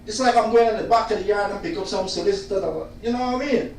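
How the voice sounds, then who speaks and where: A man complaining in a loud, insistent, repetitive way about the poor service from his solicitor, talking casually. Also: high-pitched, fast talker, very echoey, distant mic, slight background noise. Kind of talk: casual venting monologue.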